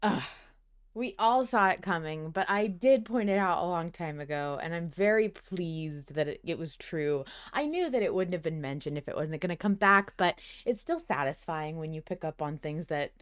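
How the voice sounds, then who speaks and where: A woman's voice: lowish voice, expressive, moderate pace, clean tone. A woman hosting a podcast, talking in a casual, conversational and emphatic way. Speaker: podcast host.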